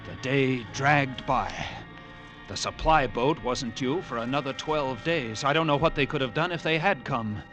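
An actor playing a haunted lighthouse keeper, narrating an old radio drama with intense, halting delivery and suspenseful pauses. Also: male voice, medium pitch, over music, lightly acted. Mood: despairing. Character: haunted male lighthouse keeper recounting a grim ordeal.

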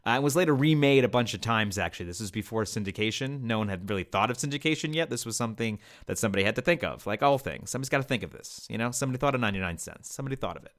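A man's voice: medium pitch, expressive, racing, hardly any pauses, a bit bright. A man hosting a podcast, introducing material in an explanatory, conversational way with casual asides. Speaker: podcast host.

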